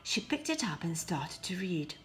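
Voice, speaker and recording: A woman reading a fairy tale as expressive, deliberate narration, with hushed phrase endings. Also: fairly low pitch. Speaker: storybook reader.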